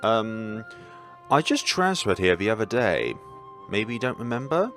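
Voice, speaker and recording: A man's voice: on the low side, very animated, a little slow, fairly bright tone, soft background music. A man voicing an awkward, well-meaning young male student in a visual novel, polite and hesitant as he makes small talk. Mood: tentative. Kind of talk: visual novel read-aloud.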